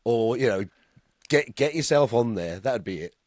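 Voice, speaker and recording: A man's voice: medium pitch, lively intonation, normal speed, darkish tone, no room sound, clean studio sound. A man, co-hosting a podcast in an easygoing, laughing way. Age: 50s. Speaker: podcast co-host. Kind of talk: casual banter.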